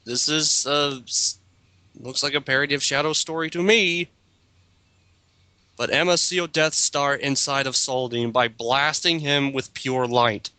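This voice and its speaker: A man's voice: medium pitch, measured pace, sharp tone, clean tone, no echo. A man reading a text aloud as lead reader of an amateur dramatic reading, breaking into sarcastic, incredulous casual commentary.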